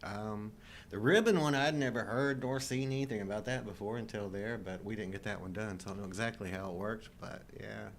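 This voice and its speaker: A man's voice: on the low side, fairly quick, slight background noise. A middle-aged man co-hosting a live show in a casual, conversational style. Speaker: co-host.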